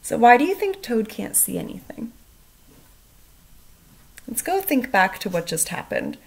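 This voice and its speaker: A woman speaking as a first grade teacher in a children's read-aloud, expressive and putting questions to the listener. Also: a little high, unhurried, vocal fry, rough recording.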